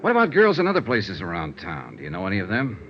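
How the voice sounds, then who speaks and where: An actor playing a wry, hard-boiled American investigator in a 1950s radio noir style, clipped and sardonic. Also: male voice, low voice, huge pitch swings, quick pace, a little hiss or hum. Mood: dry, casual. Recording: dull vintage radio recording.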